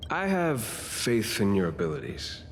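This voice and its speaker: A man voicing a brisk, confident schemer in a video game, talking in a businesslike way. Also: on the low side, huge pitch swings, a little hiss or hum, exaggerated voice. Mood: persuasive. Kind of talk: video game character acting. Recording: long reverb with music behind.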